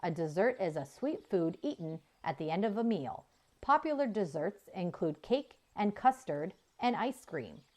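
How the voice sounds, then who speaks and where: A woman voicing a kind, patient female robot in a children's story, measured and sincere. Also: fairly low pitch, big pitch swings, a little mellow. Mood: explanatory.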